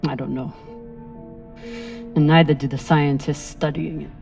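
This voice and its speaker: A woman's voice: sits low, fairly even intonation, measured pace, vocal fry, darkish tone, soft background music. A woman playing a hardened, bitter space-crew leader in a video game, with a terse, grim delivery. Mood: bitter anger. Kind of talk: dramatic video game acting.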